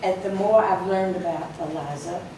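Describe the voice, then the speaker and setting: A woman's voice: fairly low pitch, moderate pace. A woman speaking as a society spokesperson at a ceremony, telling a story with emphasis and some fillers. Her voice is weaker than usual, and she leans in to a podium microphone. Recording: echoey hall from far off, noisy.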